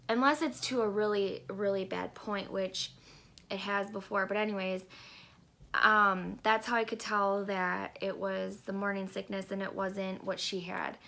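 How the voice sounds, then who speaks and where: Woman vlogger, congested, chatty and halting, slight vocal fry. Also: mid-range voice, a bit bright. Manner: rambling, halting, lots of ums. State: congested, stuffy nose. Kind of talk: casual pregnancy update vlog.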